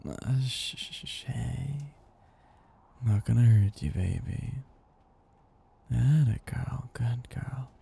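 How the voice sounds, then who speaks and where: A man voicing a seductive, possessive vampire master in an ASMR roleplay, breathy and gravelly, speaking in a slow, gently domineering, teasing way. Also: medium pitch, full character voice. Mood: coaxing, possessive. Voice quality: breathy, gravelly, often dropping into whispers. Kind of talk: ASMR roleplay, intimate scripted monologue.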